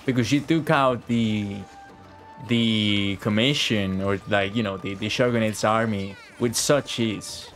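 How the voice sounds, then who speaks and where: A man's voice: on the low side, unhurried, fairly bright tone. A man giving casual live-stream game commentary in slangy, chatty language with plenty of swearing. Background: game music.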